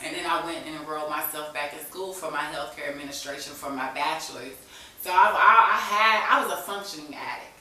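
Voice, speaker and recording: A woman's voice: sits low, a little fast, sharp tone. A woman in her thirties sharing a candid personal story in an informal, conversational way as an interview guest. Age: 30s. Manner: informal vernacular speech with frequent "you know". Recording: echoey, distant mic, faint hiss.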